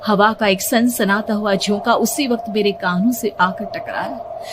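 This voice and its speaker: A woman's voice: mid-range voice, fairly even intonation, measured pace, background noise. A woman giving a suspenseful, theatrical first-person narration of a scripted horror story.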